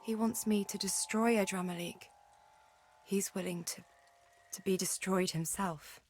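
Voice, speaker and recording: A woman playing a troubled young female adventurer in a video game, halting and emotional. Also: medium pitch, fairly even intonation, darkish tone, soft background music, lightly acted. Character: anguished young woman adventurer wrestling with guilt.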